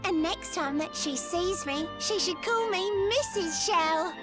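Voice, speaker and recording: Very high, sweet lovelorn mermaid. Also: female voice, unhurried, music in the background. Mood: sweet, cheerful. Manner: speaks theatrically in rhyming verse. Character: lovelorn young female mermaid, sweet, wistful, devoted. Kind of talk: video game voice acting.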